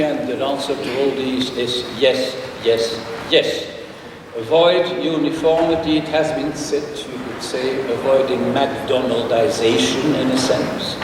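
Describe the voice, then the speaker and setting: An older man delivers a formal address in a large conference hall. He speaks in a measured, slightly halting way, with learned asides. The sound is far from the mic and washed in long reverb, with steady noise behind it. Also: medium pitch. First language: Dutch. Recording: echoey hall, picked up from afar, with noise.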